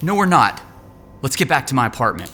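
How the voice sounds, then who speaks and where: A man's voice: medium pitch, big pitch swings, fairly quick, pushed and bright, a little hiss or hum. A man playing an urgent, evasive schemer in an audio drama. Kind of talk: scripted audio drama acting.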